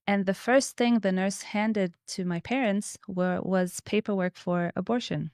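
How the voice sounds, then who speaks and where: A woman's voice: mid-range voice, fairly even intonation, measured pace, darkish tone, no room sound, clean studio sound. A woman in her thirties speaking conversationally as an interview guest, telling personal stories and explaining her views. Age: 30s.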